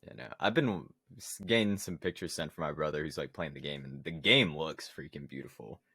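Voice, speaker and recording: A man's voice: extremely low pitch, fairly quick, pushed and bright, breathy edge, no echo, clean studio sound. A man co-hosting a podcast, talking casually in an informal, slangy way. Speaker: podcast co-host. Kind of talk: casual gaming podcast chat.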